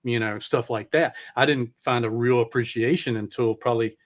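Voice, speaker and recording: A man's voice: mid-range voice, fairly even intonation, moderate pace. A middle-aged man hosting an interview as a casual chat, informal and rambling, with the odd swear word. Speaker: podcast host.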